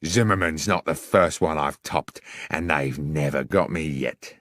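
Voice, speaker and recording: A man voicing a gruff, boastful murderer in an audiobook story, speaking with menace. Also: rumbling low, a little slow, a bit bright, dry recording, voice pushed down. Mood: menacing, boastful. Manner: threatening, boastful. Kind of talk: audiobook character acting.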